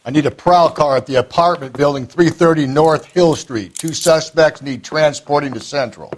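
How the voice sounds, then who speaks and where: An actor playing a blunt detective partner who talks in coarse cop slang. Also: male voice, fairly high pitch, moderate pace, slight background noise, lightly acted. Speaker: police detective.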